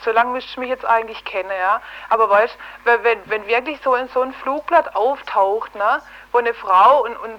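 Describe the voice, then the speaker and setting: Woman with a fairly high voice for a radio debate: opinionated, direct, colloquial, speaks as a station staff member. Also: normal speed, hardly any pauses, highs cut off. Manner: outspoken, opinionated, colloquial with tag questions. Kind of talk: casual, argumentative radio discussion.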